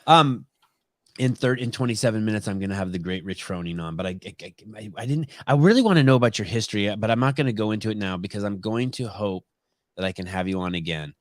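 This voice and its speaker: A man hosting a casual interview podcast, a native Armenian speaker, talking in a halting way with repeated phrases and restarts. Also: lowish voice, no echo, clean studio sound. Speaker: podcast host.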